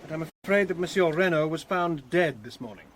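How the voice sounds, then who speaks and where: An actor playing a firm, polite police guard in a radio drama, formal and official in manner. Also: male voice, on the high side, animated, a little fast, a bit bright, slight background noise. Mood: firm, grave.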